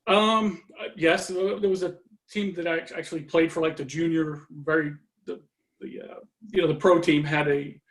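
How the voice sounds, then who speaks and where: A man from Norway chatting as a guest on a video-call interview, relaxed and full of fillers, trailing off often. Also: a little high, moderate pace, poor audio. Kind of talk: casual conversation.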